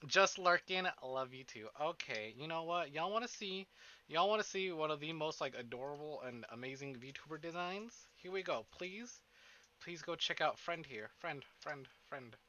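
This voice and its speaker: Bright, forceful man streaming. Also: fairly high pitch, normal speed, muffled recording. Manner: chatty, animated, self-interrupting, playfully profane. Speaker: streamer, host of a visual novel stream. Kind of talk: casual livestream chat to viewers. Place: indoors at a desk.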